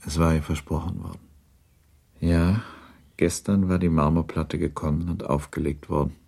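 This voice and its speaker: A man's voice: extremely low pitch, little pitch movement, moderate pace, gravelly, a little mellow, husky, heavily acted. A man narrating a literary radio drama in a sober, composed manner.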